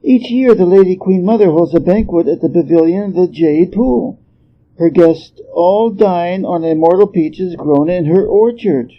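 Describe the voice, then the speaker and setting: Man as a frank, highish-voiced celestial stable assistant. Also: measured pace, dull audio. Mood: wistful. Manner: matter-of-fact, explanatory. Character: knowing, frank chief assistant at heavenly stables. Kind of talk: storybook character voice.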